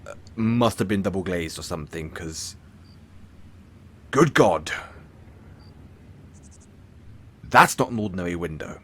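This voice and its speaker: A man's voice: deep voice, animated, normal speed, fairly bright tone, slightly rough, heavily acted. A man playing a flamboyant, smug, vain villain in an audio roleplay, speaking in a theatrical, pompous and grandiloquent way to the listener. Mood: sheepish, rambling.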